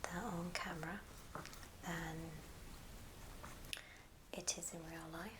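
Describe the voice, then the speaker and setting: A woman whispers a craft tutorial in ASMR style. Her voice is soft, breathy and on the low side, moving slowly and gently from step to step. Clear background noise sits under the whisper. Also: steady tone. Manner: unhurried, gentle step-by-step instruction. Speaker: ASMR host demonstrating a craft. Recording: clear background hiss and clicks.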